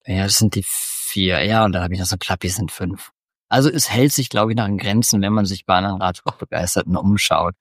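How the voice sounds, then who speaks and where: A man in his forties from northwestern Germany, a guest on a podcast, talking in a relaxed, rambling way with many fillers. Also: low voice, brisk, no room sound, studio quality. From northwestern Germany near the Dutch border. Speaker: podcast guest, agency founder. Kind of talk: casual interview talk.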